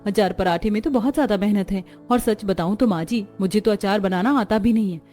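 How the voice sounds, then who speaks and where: A woman's voice: medium pitch, brisk, mellow, soft background music, lightly acted. A woman doing a whiny, lazy young bride. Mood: grumbling. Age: young adult. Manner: whiny, grumbling.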